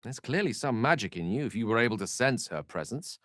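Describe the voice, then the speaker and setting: An actor playing a bookish, witty and earnest human wizard in a video game, with erudite, theatrical phrasing and a touch of melancholy. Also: male voice, medium pitch, animated, brisk, a bit bright, no echo, clean studio sound, natural voice. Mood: expressive. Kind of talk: video game character voice lines.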